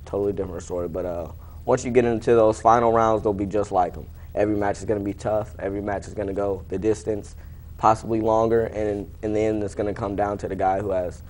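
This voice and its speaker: Low-voiced young American man, laconic. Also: darkish tone. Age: late teens. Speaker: interview guest, high school wrestler. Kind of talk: casual interview answers.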